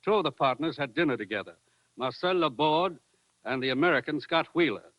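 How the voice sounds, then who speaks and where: An actor playing a brisk, serious commissioner briefing his agent in a businesslike, emphatic way in a 1940s radio drama. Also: fairly high pitch, animated, no echo, lightly acted. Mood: grave, urgent. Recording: dull, dry old radio recording.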